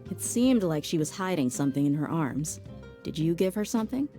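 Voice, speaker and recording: Wry laid-back bodyguard woman, lively pitch, warm low tone, music behind. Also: a little fast. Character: confident female mercenary bodyguard, teasing and protective. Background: game soundtrack music.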